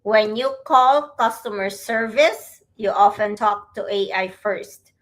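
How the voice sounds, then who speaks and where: A woman's voice: mid-range voice, slow pace, fairly bright tone, low-quality recording. A woman from the Philippines co-hosting a casual live stream, chatty and sometimes searching for words. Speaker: co-host.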